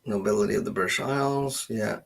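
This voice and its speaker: Husky, halting man thinking aloud. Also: lowish voice. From Canada. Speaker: genealogist host of a live question session. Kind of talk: casual chat while searching on screen.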